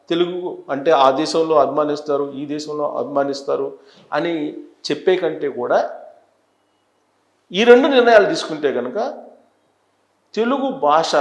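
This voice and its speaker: A man gives unscripted news commentary as a presenter, deliberate and emphatic, repeating phrases for stress. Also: on the high side. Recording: echoey, roomy sound.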